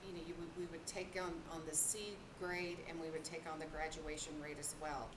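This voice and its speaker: A woman's voice: deep voice, flat delivery, brisk. A woman on the district staff presenting to a school board, hesitant and stopping often for ums. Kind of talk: presenting at a public board meeting. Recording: echoey, noisy meeting room.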